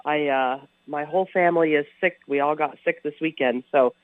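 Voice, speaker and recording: Hoarse woman guest on a phone line. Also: deep voice, fairly quick, no echo. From Colorado. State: hoarse from a cold. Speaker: political candidate, guest. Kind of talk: phone-in interview. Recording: tinny dry phone line.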